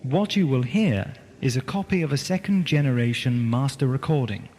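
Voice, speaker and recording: A man reading a scripted announcement in a formal, measured manner. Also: mid-range voice, darkish tone. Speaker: announcer for an audio drama producer. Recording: long reverb, faint tape noise.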